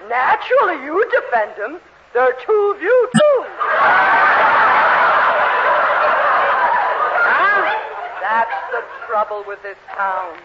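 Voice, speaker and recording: Imperious tipsy matron, 1940s radio sitcom; high, haughty, animated, over a hissy old recording. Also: female voice, measured pace, dull audio, full character voice. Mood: tipsy, suspicious. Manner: haughty, bossy, exclamatory. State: acting drunk, groggy. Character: imperious older married woman, tipsy and indignant.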